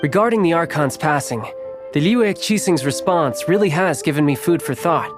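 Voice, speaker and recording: An actor playing a suave, sly agent in a video game, theatrical and smooth, with formal, teasing phrasing. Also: male voice, a little high, lively intonation, normal speed, close to an everyday voice. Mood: measured, knowing. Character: suave, sly male Fatui agent, polite schemer. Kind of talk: scripted video game character dialogue. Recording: game music behind the voice.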